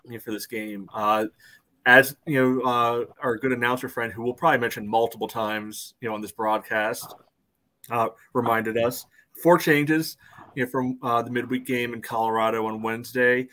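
A man's voice: medium pitch, fairly even intonation, moderate pace, bright, forceful tone. A middle-aged man hosting a casual sports discussion on a video call, in a rambling way. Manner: rambling, frequent "you know". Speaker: podcast host. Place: indoor room at home.

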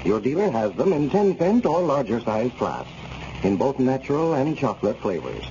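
A man announcing on 1930s radio in a measured, formal advertising style. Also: medium pitch, sing-song, warm tone. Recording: muffled, noisy 1930s radio recording.

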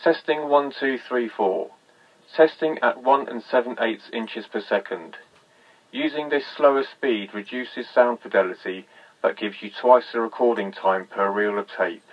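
A man giving a measured, plainly spoken demonstration and test recording, with a dull tape-recorder sound. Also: medium pitch. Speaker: presenter demonstrating vintage audio equipment.

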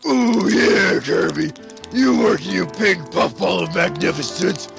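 A man's voice: sits high, measured pace, vocal fry, heavily acted. A man voicing a crude, lecherous father in an anime parody dub. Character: lecherous, crude, slow-drawling dad. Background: music.

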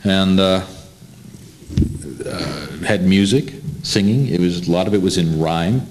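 A man's voice: low voice, unhurried, darkish tone. A middle-aged man asks informed questions as an interviewer on a panel. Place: indoor room, seated at panel table.

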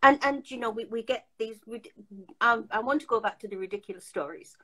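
Older woman, fairly high voice, chatty and emphatic, lots of restarts, home video call. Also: a little fast, a bit bright, low-quality recording. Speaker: host. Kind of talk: casual video call chat. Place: home room.

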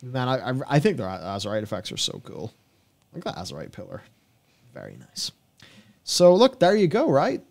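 A man's voice: medium pitch, wildly swooping pitch, moderate pace, vocal fry, darkish tone. A young man with a mid-range voice co-hosts a gaming show. He reads from notes and drops into casual, off-the-cuff commentary, speaking close on a studio microphone. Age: young adult. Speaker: co-host of a gaming news show. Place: small indoor studio with acoustic foam panels. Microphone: broadcast mic on a boom arm, close.

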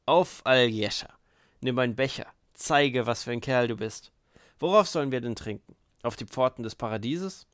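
A man performs a sneering young drinker in a storybook reading, spiteful and boastful. He needles loudly in a medium-pitched voice, recorded close and dry. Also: moderate pace, no room sound, lightly acted. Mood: goading.

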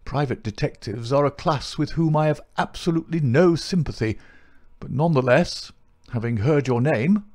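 Man playing stout respectable older gentleman, raised pitch, pompous, indignant, formal, storybook character. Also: moderate pace, lightly acted. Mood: flustered, huffy. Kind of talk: audiobook character acting.

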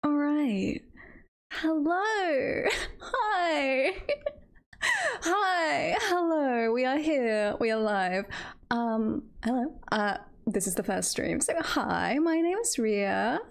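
A woman's voice: high-pitched, expressive, drawn-out words, gravelly. A woman chatting casually as she hosts a livestream, with a nervous, giggly manner, speaking close to a streaming mic. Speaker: streamer hosting a first livestream.

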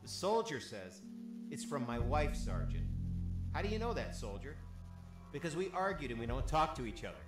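A man playing a jokey soldier on guard duty in a video game, telling gags in an animated way, with an echo on the recording. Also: medium pitch, normal speed, lightly acted. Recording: echoey, loud game music behind.

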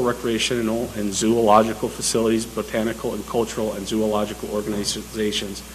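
Staff presenter at a council meeting, man, low voice, measured and filler-heavy. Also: a bit bright, slight background noise, rough recording. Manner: methodical, hesitant with frequent fillers. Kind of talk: formal public meeting presentation. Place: city council chamber.

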